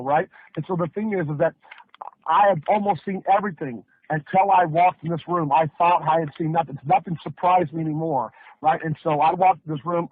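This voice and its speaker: A man with a North American accent giving an emphatic, hyped sales pitch on a conference call, telling it like a story with rhetorical questions and folksy repetition. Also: no echo. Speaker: network marketing presenter. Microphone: phone or conference line. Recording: muffled, band-limited conference call audio.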